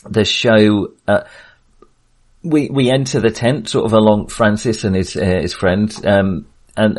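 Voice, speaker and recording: A man co-hosting a podcast, discussing film casually in a halting, think-aloud way. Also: fairly low pitch. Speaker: podcast co-host.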